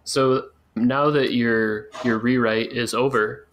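A man's voice: mid-range voice, fairly even intonation, slow, fairly bright tone, slight background noise, low-quality recording. A man interviewing a guest, asking plain follow-up questions with some restarts.

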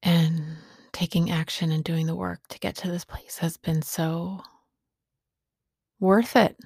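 Husky, creaky middle-aged woman, reflective. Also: fairly low pitch, level tone, a little mellow, no echo, clean studio sound. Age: late 40s. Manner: halting, pausing between short phrases. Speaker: podcast host speaking on sobriety. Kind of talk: reflective personal monologue.

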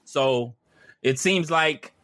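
Bright-voiced laid-back podcast host man. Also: fairly high pitch, very slow, no room sound, studio quality. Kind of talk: casual sports chat.

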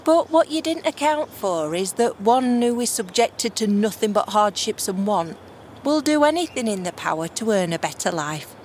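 A woman's voice: medium pitch, lively intonation, normal speed, darkish tone, a little hiss or hum, lightly acted. A woman playing a bitter, defiant runaway daughter of a monarch in a fantasy audio drama, sarcastic and blunt, with slangy complaints.

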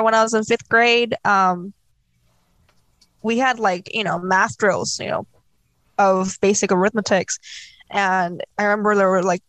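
A woman's voice: medium pitch, fairly bright tone, dry recording, rough recording. A woman from South Korea chatting casually and telling personal stories. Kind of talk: casual chat.

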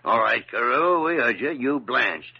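An actor playing a gruff, blunt older doctor in a 1940s radio drama, sardonic and growling. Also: male voice, medium pitch, expressive, measured pace. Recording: dull lo-fi old radio broadcast.

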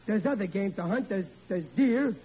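A bumbling comic father who stammers and blusters, his voice high but mellow. The sound is dull and lo-fi with faint hiss, like an old radio play. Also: lively intonation, moderate pace. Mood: blustering. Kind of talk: 1940s radio acting.

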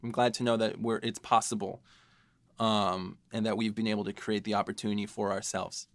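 Young man, lowish voice, halting chat. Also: little pitch movement, no echo. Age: young adult. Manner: halting, self-interrupting, informal. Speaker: podcast co-host, writer. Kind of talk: casual reflective chat.

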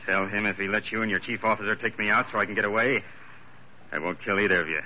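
A man's voice: lowish voice, steady tone, fast talker, natural voice. A man playing a desperate, cornered villain making terse threats in a 1940s radio adventure. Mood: menacing. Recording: muffled, hissy vintage radio.

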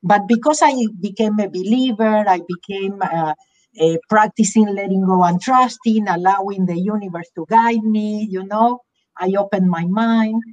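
A middle-aged woman with an Argentine Spanish accent talks as an interview guest, earnest and unhurried. Her voice sits at an ordinary pitch. The video-call audio comes through dull and lo-fi. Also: darkish tone. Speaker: guest author and teacher. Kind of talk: interview talk.

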